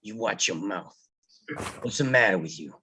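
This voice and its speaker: A man playing a bossy, protective, hot-tempered mobster elder brother in a table read, with a husky voice. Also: fairly low pitch, animated, moderate pace, bright, forceful tone, slight background noise. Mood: angry, curt. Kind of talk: live table read of a film script.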